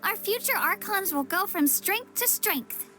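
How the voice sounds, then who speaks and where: A woman's voice: extremely high pitch, animated, a little slow, bright, forceful tone, a little hiss or hum, heavily acted. A young woman playing an upbeat, helpful girl guide in a video game, speaking in a friendly, chatty, eagerly explaining way. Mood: earnest, explaining. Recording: music behind the voice. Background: game music.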